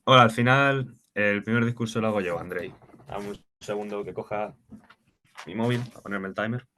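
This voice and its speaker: A young man, opening a debate speech in a brisk, direct way. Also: lowish voice, noisy background. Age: young adult.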